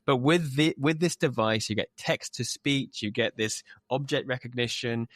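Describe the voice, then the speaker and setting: A man's voice: mid-range voice, no echo, studio quality. Affirming male interview host. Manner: affirming, quick reactions. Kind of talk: warm interview questions.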